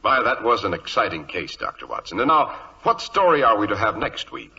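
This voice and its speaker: A man's voice: fairly low pitch, sing-song, brisk, bright, forceful tone. A man hosting and announcing in the polished style of 1940s radio. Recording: dull old radio recording.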